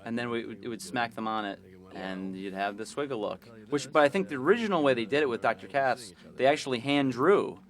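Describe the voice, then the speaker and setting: A man's voice: medium pitch, lively intonation, brisk. A man working as an animator, chatting casually on a commentary track in a laid-back, slangy, jokey way. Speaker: cartoon animator.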